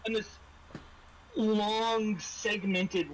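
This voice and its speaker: Halting male game master, casual narration. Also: sits high, fairly even intonation, slight background noise, low-quality recording. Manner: halting, repeats words.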